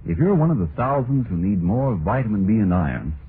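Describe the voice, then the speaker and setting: A man's voice: lowish voice, sing-song, a little fast, slightly rough. A man working as an announcer in 1940s radio style. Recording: tinny, noisy old radio broadcast.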